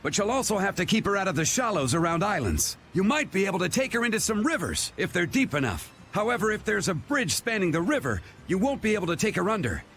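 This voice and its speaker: A man's voice: high voice, very animated, fast talker, a bit bright, slight background noise, full character voice. A man playing a polite, mild-mannered ship's pilot in a video game, explaining things helpfully and courteously. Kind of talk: video game dub acting, instructional.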